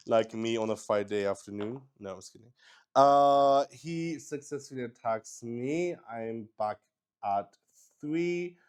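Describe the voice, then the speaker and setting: A man's voice: medium pitch, unhurried, darkish tone, smooth. A man narrating a solo tabletop roleplaying game casually, thinking aloud, mocking himself and swearing freely. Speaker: solo player and game master.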